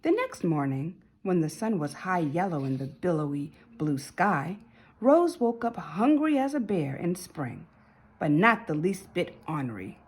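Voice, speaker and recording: A woman reading a children's story aloud in an expressive way, with dramatic emphasis and drawn-out words. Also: on the low side, husky. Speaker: storybook reader.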